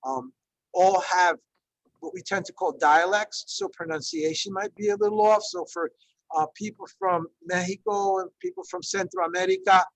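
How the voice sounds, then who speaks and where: A man in his fifties, originally from Ecuador, leads an online class over a video call with a high, gravelly voice. He talks in a loose, conversational way, with plenty of fillers and frequent check-ins with his listeners. The sound is dry and close, with no room around it. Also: no echo, poor audio. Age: 50s. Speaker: Spanish teacher leading a workshop. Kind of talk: online workshop presentation.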